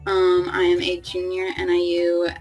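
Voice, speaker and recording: A twenty-year-old woman answers interview questions with a low, gravelly voice. Her delivery stays flat and hesitant, with many fillers, over faint music. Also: moderate pace, rough recording. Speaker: student interviewee.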